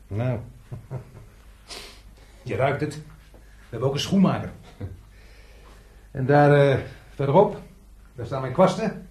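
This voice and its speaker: An actor playing a chatty, jovial unemployed handyman in a radio drama, talking colloquially with a raspy, husky voice. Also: medium pitch, animated, slow, long pauses, a little mellow, full character voice. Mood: chatty, explaining. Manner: talkative, colloquial, chuckling. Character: chatty, jovial unemployed workshop handyman showing a newcomer around.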